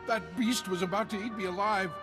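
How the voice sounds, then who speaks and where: An actor playing a frightened, tortured scientist in a video game, earnest and grave. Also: male voice, high-pitched, a little fast, lightly acted. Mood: shaken, urgent. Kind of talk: dramatic video game voice acting. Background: music behind the voice.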